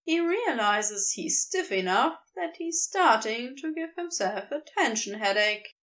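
A woman reading fan fiction aloud as a podfic, unhurried and expressive. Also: a little high. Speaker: podfic reader. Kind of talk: audiobook narration of fan fiction.

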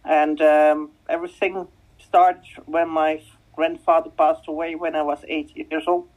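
Brief: female, fifties, Flemish Belgian accent, native Dutch speaker, slightly low pitch, halting conversational interview guest who repeats words. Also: little pitch movement, darkish tone, poor audio. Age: 50s. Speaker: guest, spiritual medium.

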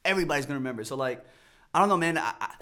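A man's voice: fairly high pitch, animated, rapid-fire, sharp tone. A man in his twenties from New York, a podcast guest chatting casually and rambling with many stammered restarts. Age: 20s.

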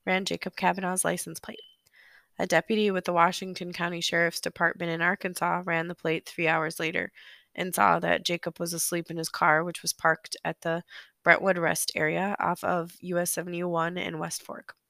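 Woman, hoarse from a cold, gravelly voice, dry close mic, chatty solo podcast host. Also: on the low side, flat delivery, normal speed, sharp tone, no echo. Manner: chatty and apologetic, with many ums. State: sick, hoarse, losing her voice. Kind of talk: solo true-crime podcast narration. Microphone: close podcast microphone.

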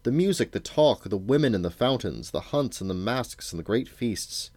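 A man's voice: fairly low pitch, very animated, moderate pace, a little mellow, no room sound. A man voicing an eager, desperate magician in a storybook, with a florid, theatrical, declamatory manner. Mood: pleading.

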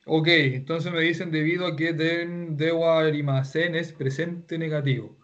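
A man's voice: on the high side, steady tone, measured pace, a bit bright, highs cut off. A man teaching a Japanese class online, explaining patiently and repeating himself with many restarts. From Chile.

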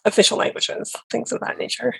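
A woman's voice: brisk, sharp tone, rough recording. A young woman co-hosting a podcast, chatty and self-deprecating, using lots of casual fillers. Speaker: podcast co-host. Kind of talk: casual chat.

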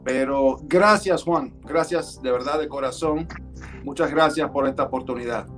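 A man hosting a live online show, introducing his guest in a slow, emphatic way with pauses. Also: mid-range voice, fairly bright tone, faint music behind. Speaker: show host. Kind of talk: live show host talk.

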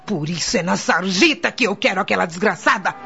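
A woman's voice: mid-range voice, brisk, gravelly, natural voice. Woman playing a cold, vengeful widow, muffled sound. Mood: bitter, anguished. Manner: cold, commanding. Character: bitter, imperious, vengeful wealthy widow and mother. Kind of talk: radio soap opera acting. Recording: dull lo-fi audio with music.

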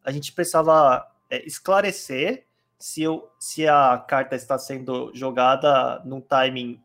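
A man chatting calmly on a podcast, explaining card game rules with many fillers. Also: mid-range voice, unhurried. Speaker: card game player guest. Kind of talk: casual podcast chat.

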